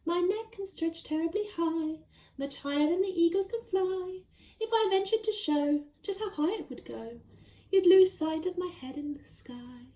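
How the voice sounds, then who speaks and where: A woman voicing a gentle female giraffe from a children's storybook, speaking softly and carefully. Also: very high-pitched, normal speed, reverberant, voice pushed up. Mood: soft, singsong. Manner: soft, careful delivery.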